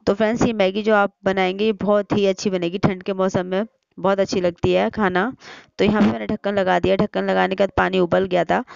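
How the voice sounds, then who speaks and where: A middle-aged woman with a hoarse voice from a sore throat, chatting casually through a cooking vlog in her home kitchen and explaining each step to her viewers. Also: mid-range voice, flat delivery, a little fast, darkish tone, dry recording. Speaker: vlogger. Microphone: phone camera. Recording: slightly muffled phone audio.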